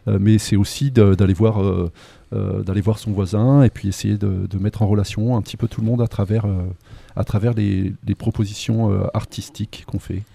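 A man from a local arts association answering interview questions, halting, with many fillers and restarts. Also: on the low side, little pitch movement, vocal fry, mellow, no room sound. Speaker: association member. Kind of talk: hesitant radio interview answer.

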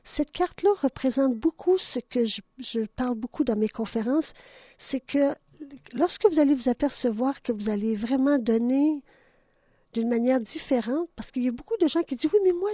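An older woman with a Canadian French accent speaks in a high, mellow voice, effusive and affectionate, often breaking into laughter. She hosts a radio show from a dry-sounding studio. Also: no room sound. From Ontario, Canada. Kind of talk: casual radio chat.

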